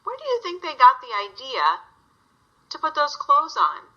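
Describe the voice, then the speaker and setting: A woman's voice: high-pitched, wildly swooping pitch, measured pace, pushed and bright, lo-fi sound. A woman reading a story aloud to young children, guiding them with simple questions.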